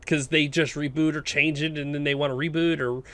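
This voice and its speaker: A man's voice: a little high, fairly even intonation, a little fast, a bit bright. A man hosting a podcast in casual, opinionated chat, making his points bluntly and with emphasis. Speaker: podcast host.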